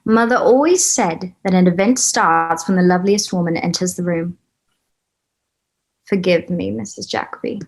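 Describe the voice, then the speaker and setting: Woman playing a coy, lower-pitched young lady. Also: normal speed, close to an everyday voice. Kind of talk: table read of a period comedy.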